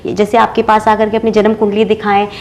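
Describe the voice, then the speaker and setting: A woman's voice: medium pitch, monotone, brisk, darkish tone. A woman hosting an interview show, putting long-winded questions to her guest. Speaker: programme host interviewing an astrologer.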